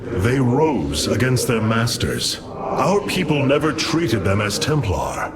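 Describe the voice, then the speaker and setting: A man's voice: medium pitch, big pitch swings, slow, over a noisy crowd. A man playing a noble, resolute alien leader in a video game cutscene, speaking formally and with solemn authority. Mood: grave. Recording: loud music behind the voice.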